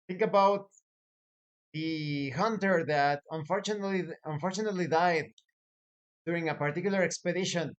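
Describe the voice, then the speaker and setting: A man hosting a tabletop gaming advice video as a game master, speaking in a deliberate, emphatic way with dramatic lists. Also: on the high side. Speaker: host, professional game master.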